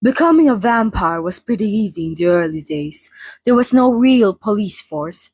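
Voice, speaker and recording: A theatrical female vampire over a hundred years old, played by a woman, chats in a soft, husky, breathy voice. She is playful and mock-grand, like a hostess in an intimate ASMR roleplay. The sound is close and very dry. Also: medium pitch, expressive, normal speed, no room sound. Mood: gossipy, wry. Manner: playfully grand, mock-formal, dramatic, chatty. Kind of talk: ASMR roleplay, chatty in-character monologue. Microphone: close studio microphone, dry.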